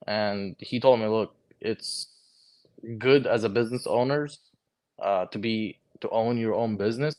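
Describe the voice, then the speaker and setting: Young man from Lebanon, low voice, laid-back storyteller, casual talk with lots of "bro". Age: 20s. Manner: relaxed storytelling, lots of "bro" and "like", acts out quoted conversations. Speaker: guest, HVAC technician. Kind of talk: casual podcast chat.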